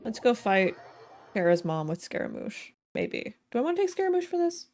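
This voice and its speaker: A woman's voice: on the high side, sing-song, normal speed, a little mellow. A woman livestreamer chatting casually while gaming, thinking aloud in a playful, rambling way with silly slang. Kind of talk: casual gaming stream chat. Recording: game music behind the voice. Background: game music.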